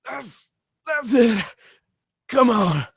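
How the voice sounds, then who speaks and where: A man playing a desperate man cursed to become a werewolf, urgent and gravelly, in a screenplay table read. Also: extremely high pitch, big pitch swings, extremely slow, no echo, studio quality, heavily acted. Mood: panicked. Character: desperate man fighting his transformation into werewolf.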